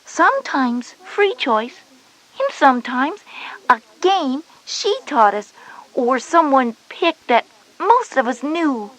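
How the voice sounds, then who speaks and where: A schoolgirl big sister, with a high voice and lively swooping intonation. She explains things patiently but a little bossily, stressing her points in a scripted children's educational story. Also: slow, exaggerated voice, rough recording. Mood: reassuring, explanatory. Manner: explanatory, emphatic. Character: knowing, patient but slightly bossy older sister Jenny, schoolgirl.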